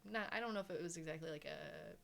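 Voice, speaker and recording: Woman co-host, slightly low voice, chatty and rambling, animated storyteller. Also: quick pace, vocal fry. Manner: rambling, animated, acts out what others said. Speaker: podcast co-host. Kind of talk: casual chat.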